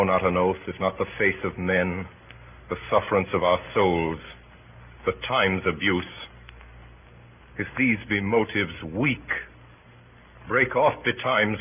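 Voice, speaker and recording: An actor playing a grave, idealistic Roman conspirator in a vintage radio Shakespeare production, speaking in measured oratory. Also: male voice, lowish voice, natural voice. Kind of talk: 1930s-40s radio Shakespeare acting. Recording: noisy, narrow-band old radio recording.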